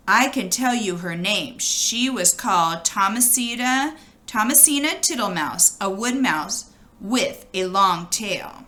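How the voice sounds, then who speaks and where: Animated, bright-voiced woman reading a storybook. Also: a little high. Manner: animated, with brief asides to young listeners. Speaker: storybook reader. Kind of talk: expressive read-aloud of a children's storybook.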